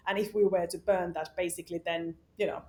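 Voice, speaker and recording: Woman, outspoken guest on a podcast, lowish voice, says "you know" a lot. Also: fairly even intonation, brisk, creaky, a little mellow. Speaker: company founder and CEO, guest. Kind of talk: casual interview conversation.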